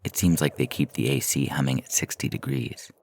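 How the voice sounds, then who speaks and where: A man reading a first-person short story as a narrator, in a measured, deliberate way, from a script. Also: a bass voice, very animated, vocal fry, a little mellow, dry recording. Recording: music bed under the voice. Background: synthesizer music.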